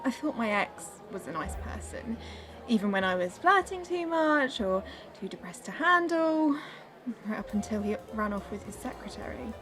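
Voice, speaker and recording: A woman's voice: fairly high pitch, big pitch swings, normal speed, a crowd in the background. An actress playing a teasing, flirtatious woman who is insecure and wounded underneath, in a radio drama. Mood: anxious, apologetic. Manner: teasing, confessional.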